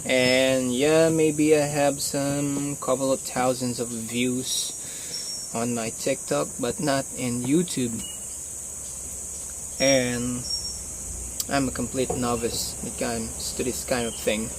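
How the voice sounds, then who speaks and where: A man's voice: medium pitch, level tone, slow pace, noisy background. A young man from the Philippines with a Filipino accent, speaking English as his second language in a casual, hesitant vlog on his phone, full of fillers and restarts. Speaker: vlogger.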